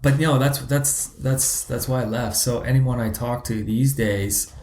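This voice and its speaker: A man's voice: medium pitch, measured pace, darkish tone. Guy from zimbabwe chatting, lots of restarts, roomy echo. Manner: hesitant, many restarts and fillers. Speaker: guest. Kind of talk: casual interview talk.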